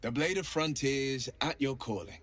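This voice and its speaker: A man's voice: medium pitch, moderate pace, fairly bright tone, no room sound, natural voice. A man playing a gallant, earnest monster-hunting hero in a fantasy video game, telling things in a theatrical, storytelling way. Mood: earnest, solemn.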